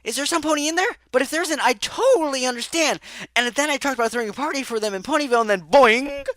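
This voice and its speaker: A woman's voice: sits high, fast talker, a bit bright, dry recording. A woman playing a perky, scatterbrained young male pony in a fan audio drama, bubbly and rambling. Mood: cheerful rambling.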